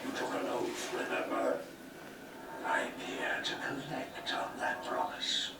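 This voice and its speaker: An actor playing a cold, ancient wraith king in a video game, speaking in breathy, slow, ominous and grandiose pronouncements. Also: natural voice. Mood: accusing. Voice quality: breathy, whispery. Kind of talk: dramatic video game cutscene acting. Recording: echoey, distant, noisy game audio playback.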